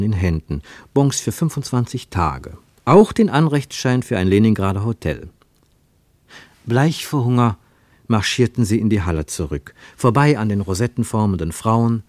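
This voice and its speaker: Male radio drama narrator, lowish voice, dry close sound, richly varied intonation for literary prose. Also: no echo. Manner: expressive storytelling.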